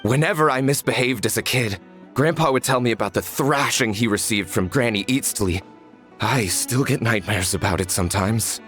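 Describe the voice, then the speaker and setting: A man's voice: mid-range voice, moderate pace, close to an everyday voice. An actor playing a nervous, humble male villager in a video game, respectful and apologetic. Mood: humble, explanatory. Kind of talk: English video game voice acting. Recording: faint background music under the voice.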